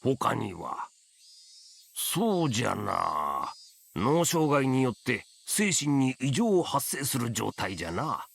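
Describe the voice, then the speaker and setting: Gruff old coroner from a visual novel, gravelly, drawly old-man talk, very animated. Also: medium pitch, normal speed, slight background noise. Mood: gruff, matter-of-fact. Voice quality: hoarse, gravelly. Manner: drawling, folksy elderly phrasing. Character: crusty old male coroner, gruff and wry. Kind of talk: Japanese visual novel voice acting.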